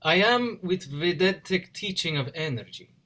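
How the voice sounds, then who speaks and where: Man acting eccentric immigrant inventor, highish swooping pitch, courteous, clipped, period stage drama. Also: animated, moderate pace, bright, forceful tone. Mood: earnest, reserved. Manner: clipped phrasing with dropped articles, courteous. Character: eccentric, formal immigrant male scientist, earnest and awkward. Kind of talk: play reading, period drama. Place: indoors, remote online reading. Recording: video call.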